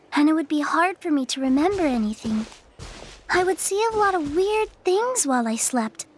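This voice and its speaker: A woman playing a frail, timid young girl in a video game, earnest and hesitant, speaking softly. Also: extremely high pitch. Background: music.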